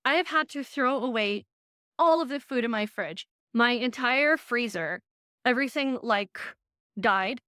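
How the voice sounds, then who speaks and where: A young woman chats straight to camera from her living room, animated and full of asides. Her voice sits a little high and bright in a dry, close recording. She sounds like she is getting over an illness. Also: smooth, no room sound. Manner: chatty, animated, quick asides. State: recovering from illness. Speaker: vlogger and design lecturer. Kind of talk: chatty casual vlog update.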